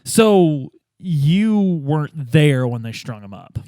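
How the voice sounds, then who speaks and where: A man's voice: a little high, very animated, slow, warm tone, clean tone, no echo, studio quality. A man playing a hesitant, well-meaning police detective who fumbles informally through his questions in a tabletop roleplay. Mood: puzzled, probing.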